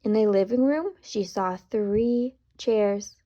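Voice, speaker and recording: A woman reading a children's story aloud with deliberate, dramatic emphasis, speaking directly to young listeners. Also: mid-range voice, warm tone, muffled recording. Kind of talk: expressive children's read-aloud with asides to listeners.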